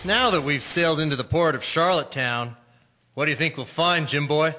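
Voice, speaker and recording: Radio drama: young male sailor played by an actor, cocky hothead, sharp tone, pitched a little high. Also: fairly quick. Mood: cocky, jovial. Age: young adult. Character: brash, lustful, hot-tempered young sailor, later penitent.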